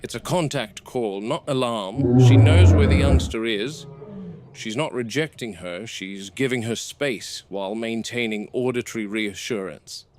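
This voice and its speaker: A man playing a seasoned, watchful game warden, recording an analytical field log in measured, clipped observations. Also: mid-range voice, darkish tone, natural voice. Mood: clinical, calm. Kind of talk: found-footage field log monologue. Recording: noisy VHS-style found-footage audio.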